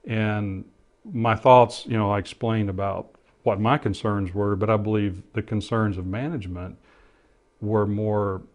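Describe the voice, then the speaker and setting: Middle-aged male interviewee, fairly low voice, thoughtful and halting as he recounts and explains. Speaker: interviewee, former air traffic controller and pilot. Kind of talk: interview testimony, recollection.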